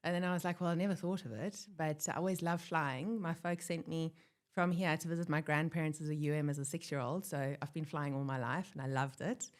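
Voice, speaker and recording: Woman pilot guest, low voice, lively storyteller. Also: a little fast. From born in Germany, grew up in South Africa. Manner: chatty, laughs often, acts out quotes. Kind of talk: casual interview storytelling.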